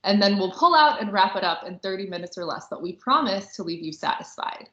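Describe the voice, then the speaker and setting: A woman's voice: medium pitch, lively intonation, a little fast, bright, forceful tone. A woman co-hosting a podcast as a romance author, talking in a casual, chatty style. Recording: roomy, echoey, slightly muffled podcast audio.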